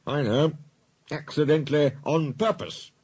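A man playing a stern, pompous railway controller, brisk and commanding, in a children's story. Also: on the high side, darkish tone, husky, voice pushed up. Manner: brisk, authoritative.

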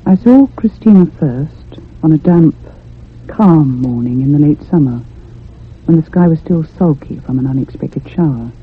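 An actress playing a curious, insistent convalescent lady who narrates in a measured literary way in a radio drama. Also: low-pitched, expressive, breathy edge, full character voice. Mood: reflective, measured. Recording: muffled, phone-like old radio sound.

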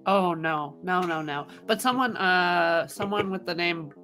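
A woman's voice: fairly low pitch, unhurried, a bit bright, soft background music. A young woman co-hosting a livestream in casual chat, playful and teasing, on a close desk microphone. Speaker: charity stream co-host. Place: indoor room, remote video call. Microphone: desk microphone close up with headset.

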